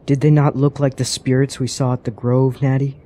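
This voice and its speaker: An actor playing a cautious, earnest traveler in a fantasy radio drama, speaking formally and gravely. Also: male voice, mid-range voice, level tone, mellow, lightly acted. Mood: grave, reflective. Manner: formal, grave, measured phrasing. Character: cautious, earnest male traveler wary of dark woods.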